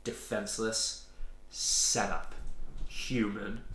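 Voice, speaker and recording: A man's voice: on the low side, fairly even intonation, takes it slowly, a bit bright. A man voicing a towering furry monster bodyguard in a storybook, taunting in a menacing, predatory way. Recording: roomy echo.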